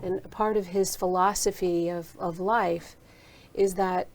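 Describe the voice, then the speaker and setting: A woman's voice: on the low side, fairly even intonation, a little slow, a little hiss or hum. A woman hosting a conversation in a university studio, asking reflective follow-up questions. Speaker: host. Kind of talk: conversational interview.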